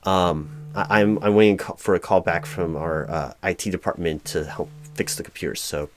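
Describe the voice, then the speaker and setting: A man performing a job interview roleplay as a flustered, apologetic hiring manager, speaking formally in a halting monotone. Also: sits low. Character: mildly frustrated, apologetic male corporate hiring manager. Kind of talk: one-sided job interview audio roleplay.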